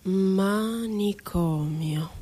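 An actress playing a wounded, ironic woman poet and former asylum inmate in a theatrical radio monologue, with dramatic, deliberate phrasing. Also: mid-range voice, warm tone, husky. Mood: bitterly ironic, haunted. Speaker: stage actress.

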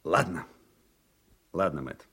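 A man's voice: a bass voice, lively intonation, takes it slowly, exaggerated voice. An actor playing a troubled, principled man in a radio drama, earnest and hesitant in his speech. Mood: reluctant. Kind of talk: Soviet-era radio drama acting.

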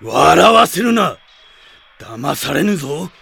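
An actor playing a hostile, haughty old general with imperious, archaic speech in a radio drama. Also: male voice, on the high side, sing-song, takes it slowly, vocal fry, bright, forceful tone, breathy edge, full character voice. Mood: scornful, suspicious. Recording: music behind the voice.

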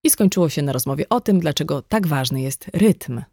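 A woman's voice: sits low, animated, fairly quick, creaky, warm tone, no room sound, clean studio sound. A woman reading a conversational non-fiction audiobook in a scripted narration style. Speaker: audiobook reader.

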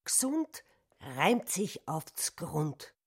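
An actress playing a mournful, accusing former asylum patient in a literary radio play. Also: female voice, on the low side, big pitch swings, very slow, slightly rough, exaggerated voice. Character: aggrieved cured female patient, mournful and accusing.

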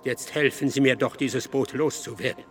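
A man's voice: medium pitch, fairly even intonation, moderate pace, a bit bright, soft background music, close to an everyday voice. A man acting in a German radio drama as an anxious, self-serving, fussy delegate who talks urgently. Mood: fretful, pleading.

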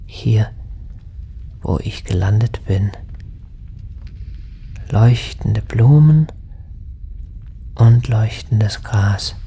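An actor playing a dreamy lost spirit in a radio play, speaking in hushed, halting, trance-like fragments. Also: male voice, on the low side, a little hiss or hum. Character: dreamy male spirit, a wandering lost soul. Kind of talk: poetic radio play monologue. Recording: studio radio drama with music underneath. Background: music.

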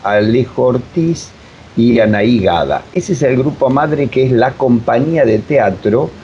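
An Argentine man explaining his work as a director in an interview, haltingly and repeating words as he goes. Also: medium pitch, lively intonation, mellow. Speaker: radio drama director being interviewed. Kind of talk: unscripted interview answers. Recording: dull lo-fi audio, faint hiss.

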